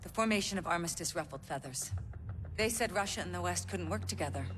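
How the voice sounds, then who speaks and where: An actress playing a stern, authoritative military intelligence officer delivering a clipped, scripted game mission briefing. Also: female voice, lowish voice, expressive, a bit bright. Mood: grave, resolute. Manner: clipped, commanding, deliberate. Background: game score.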